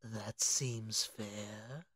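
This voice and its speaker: A man voicing a courteous, genteel gentleman hunter with a formal, old-fashioned charm in a comic dub. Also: fairly low pitch, steady tone, drawn-out words, heavy background noise. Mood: coolly polite. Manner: polite, formal, endearments.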